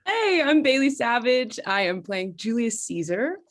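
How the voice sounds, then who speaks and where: A woman's voice: on the high side, big pitch swings, a little slow. A woman from Washington State, an actor, introducing herself in a casual style.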